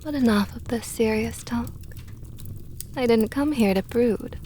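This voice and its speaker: A woman's voice: a little high, slight background noise, lightly acted. A woman playing a whispering vampire knight. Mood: tender teasing. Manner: slow, soft, often whispered, teasing. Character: possessive flirtatious female vampire knight, protective. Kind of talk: ASMR roleplay.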